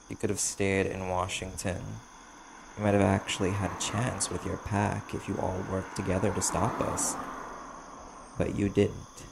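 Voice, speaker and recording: A cool, sardonic male vampire bounty hunter, acted by a man for an audio roleplay, with a low voice steeped in heavy vocal fry. He bargains carefully in dry, measured phrases with pointed pauses. Crickets and a clear layer of night noise sit behind him. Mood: cool, taunting. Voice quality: gravelly. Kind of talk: audio roleplay, slow dramatic monologue to the listener.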